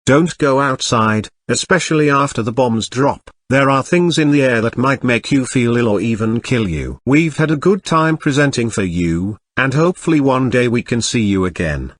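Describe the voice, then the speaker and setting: A man's voice: medium pitch, expressive, moderate pace, few pauses, no echo, studio quality. A man reading a scripted announcement for young children as a TV channel presenter.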